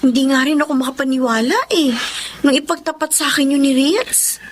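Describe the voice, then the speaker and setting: A woman's voice: high voice, fairly quick, lightly acted. A woman playing a sympathetic young friend in a drama, conversational and concerned. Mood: pondering. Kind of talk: drama acting.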